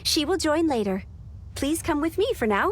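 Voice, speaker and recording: A woman playing a polite young secretary who makes official announcements in a video game, enunciating clearly. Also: high-pitched, animated, normal speed. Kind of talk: scripted video game voice acting.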